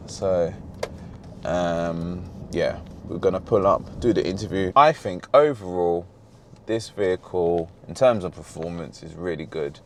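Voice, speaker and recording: A very deep-voiced man talks casually while driving, rambling and correcting himself, his voice slightly hoarse. He is recorded inside a moving car. Road and engine noise hum clearly underneath. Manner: rambling, self-correcting, easygoing. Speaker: car reviewer, vlog host.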